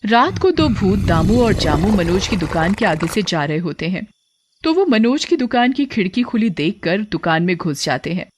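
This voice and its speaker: A woman reading a scripted cartoon story as narrator, in a plain, measured storytelling manner. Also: mid-range voice, very animated, slight background noise.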